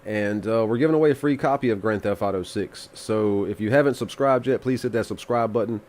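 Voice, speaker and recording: A man's voice: fairly low pitch, a little fast, a little mellow. Stuffy-nosed laid-back man. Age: adult with children. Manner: laid-back, rambling, swears casually. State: congested, recovering from flu. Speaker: live music review host. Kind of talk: casual live stream chat.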